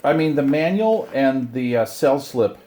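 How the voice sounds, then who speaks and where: A middle-aged or older man chatting casually to viewers while unboxing, excited and rambling, often talking to himself. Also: medium pitch, normal speed. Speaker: hobbyist video host. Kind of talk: casual unboxing chat to camera.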